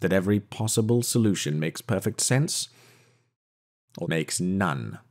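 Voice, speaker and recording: An actor playing a haughty, brooding detective in an audio drama, with ornate, theatrical diction. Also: male voice, sits low, lively intonation, measured pace, darkish tone, faint music behind. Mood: brooding, contemptuous. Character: haughty, brooding male detective investigating a village murder. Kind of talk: dramatic audio novel narration and dialogue.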